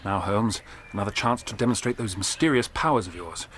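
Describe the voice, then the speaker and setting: A man's voice: lowish voice, a little fast, a bit bright, slight background noise, natural voice. An actor playing an anxious, well-spoken young college man in a radio drama, earnest and eager in manner. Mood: friendly, eager. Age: young adult.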